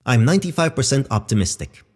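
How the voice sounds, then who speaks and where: A man voicing a calm, formal and exact spaceship computer in a sci-fi audio drama. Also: medium pitch, fast talker, slightly rough, big-room sound. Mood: calm, precise. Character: calm precise male spaceship artificial intelligence.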